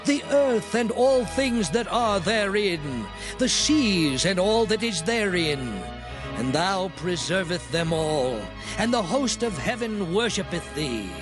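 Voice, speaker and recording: High-voiced fervent Levite in prayer. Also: male voice, sing-song, takes it slowly, heavily acted. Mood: fervent, reverent. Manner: declamatory, reverent. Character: Levite leading the people in fervent public prayer. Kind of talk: dramatized scripture reading. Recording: music behind.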